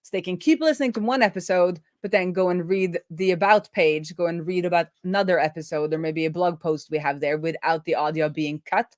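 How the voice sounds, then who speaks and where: A woman's voice: fairly low pitch, no room sound, studio quality. A woman hosting a coding livestream, chatting casually and thinking aloud as she works.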